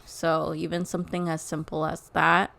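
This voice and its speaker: Young woman podcast co-host, lots of vocal fry, easygoing chatty tone. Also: sits low, flat delivery, moderate pace, a bit bright. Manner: easygoing, reads a list aloud with asides. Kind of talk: casual chat.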